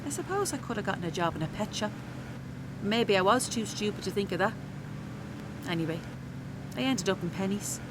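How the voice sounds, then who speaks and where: Over clear background noise and vehicle ambience comes a feisty, worn-out Irish mammy played by a woman in a radio play. Her voice sits at a middle pitch. She talks bluntly and earthily, with a wry, sharp tongue. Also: expressive, a little fast, pauses often, close to an everyday voice. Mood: wistful. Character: weary, feisty, sharp-tongued working-class Irish mother. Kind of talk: radio drama acting. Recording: clearly noisy, traffic and ambience behind.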